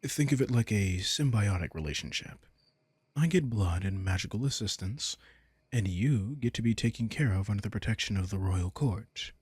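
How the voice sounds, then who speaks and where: Man acting as vampire king, low husky voice, casual, teasing, protective, intimate roleplay audio. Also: animated, normal speed, darkish tone, no room sound. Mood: concerned, gentle. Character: commanding but caring male vampire king.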